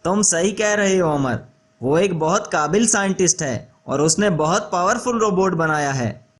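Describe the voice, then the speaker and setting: A man's voice: sits high, animated, normal speed. A man voicing a rich, jealous, power-hungry village schemer in a children's story. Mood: persuading.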